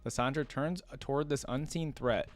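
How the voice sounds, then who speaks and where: A man's voice: medium pitch, moderate pace. A man doing informal reaction commentary, switching between casual slangy remarks and reading story text aloud. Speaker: reaction video creator.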